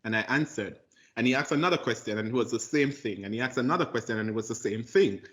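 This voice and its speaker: A man's voice: mid-range voice, quick pace. A young adult Jamaican man with a Jamaican accent, a lawyer talking about his path in an interview, earnest and a little cheeky. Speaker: lawyer guest. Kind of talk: casual interview talk.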